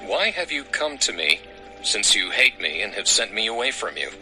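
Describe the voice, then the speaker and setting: Earnest biblical patriarch, fairly low pitch, declamatory, audio scripture drama, music underneath. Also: male voice, sing-song, normal speed, bright, forceful tone, full character voice, rough recording. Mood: wary, challenging. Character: Isaac, an earnest Old Testament patriarch, male.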